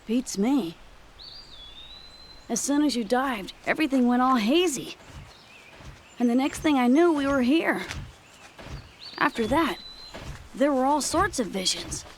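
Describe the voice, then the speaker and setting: A woman's voice: high-pitched, expressive, measured pace, long pauses, slight background noise. A woman playing a composed, plain-spoken young character in a dubbed sci-fi game cutscene. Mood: bewildered.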